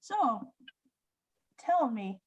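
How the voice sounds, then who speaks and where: High, lilting innkeeper lady, acted by a woman. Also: very animated, drawn-out words, breathy edge, no room sound, highs cut off, full character voice. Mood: amused, teasing. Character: warm, teasing innkeeper woman, motherly and amused. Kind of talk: stage radio play acting.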